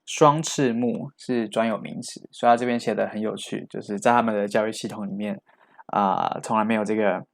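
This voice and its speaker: A man teaching vocabulary, switching between Chinese explanations and English example sentences read aloud. His voice sits a bit low, with a gravelly, creaky edge.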